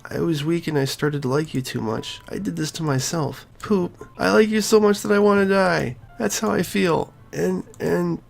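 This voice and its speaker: A woman voicing a sweet, self-loathing teenage girl from a game, halting and emotionally fragile. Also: lowish voice, gravelly, darkish tone, faint music behind, pitched above the performer's own voice. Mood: anguished.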